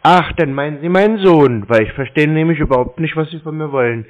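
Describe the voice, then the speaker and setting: A man's voice: on the high side, a little fast. A man chatting casually on a call, playing clueless as he handles a telemarketer. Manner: feigned cluelessness. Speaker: scambaiter taking scam calls. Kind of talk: casual phone chat. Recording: narrow phone-call audio.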